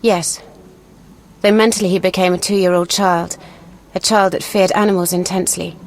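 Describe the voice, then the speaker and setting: A woman playing a proud, vengeful young noblewoman in a fantasy video game, speaking earnestly and deliberately. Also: lowish voice, fairly even intonation, slight background noise, natural voice. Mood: bitter, reminiscing. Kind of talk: video game dialogue acting.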